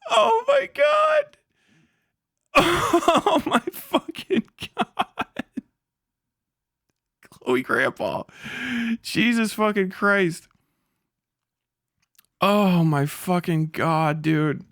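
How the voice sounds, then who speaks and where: A man doing a casual livestream reaction in an animated, incredulous and profane way, breaking easily into laughter. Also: high voice, extremely slow, creaky, pauses often. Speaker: livestream host. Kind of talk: casual livestream reaction, reading messages aloud with profane commentary.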